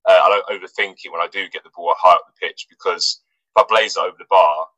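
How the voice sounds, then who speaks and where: A man's voice: deep voice, quick pace, no room sound, lo-fi sound. A man, a footballer from England, talking casually in an interview with lots of fillers and restarts. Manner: relaxed, hesitant, many fillers and restarts. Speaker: footballer guest.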